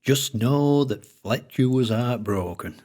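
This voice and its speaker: An older Lancashire collector with a thick accent, in a story read aloud, as the narrator puts him on. He drones on in an unhurried, secretive way, his voice lifted higher than the narrator's own. It has a slightly different, altered timbre from the reader's usual voice. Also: lively intonation, a little mellow. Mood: droning. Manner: droning, unhurried storytelling with dialect grammar. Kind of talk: audiobook character voice.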